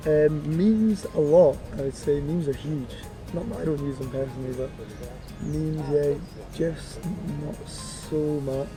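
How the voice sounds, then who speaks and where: A man's voice: fairly high pitch, unhurried, rough recording. Guy, chatty and offhand, music in the background. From Scotland. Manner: casual, offhand opinions. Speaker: interviewee.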